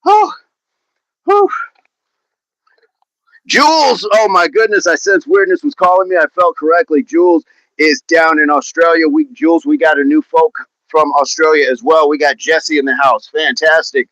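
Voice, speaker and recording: A man's voice: fairly high pitch, moderate pace, a bit bright, no room sound, low-quality recording. A man hosting a fitness livestream, chatting casually and answering viewer comments in an upbeat, laughing, repetitive way. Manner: chatty, upbeat, laughs often, repeats phrases.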